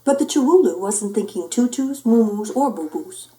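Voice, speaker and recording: A woman storyteller with a fairly high voice performs a children's picture book. Her delivery is playful and theatrical, with very lively swings in pitch. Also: moderate pace. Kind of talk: expressive children's picture book read-aloud.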